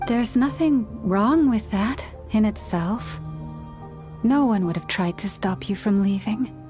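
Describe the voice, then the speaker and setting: An actress voicing a solemn, gentle moon priestess in a video game, speaking in a measured, formal and earnest way. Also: female voice, medium pitch, music in the background, lightly acted. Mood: grave, resolute. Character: solemn, gentle young woman, devout moon priestess of a clan. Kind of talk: video game character dialogue.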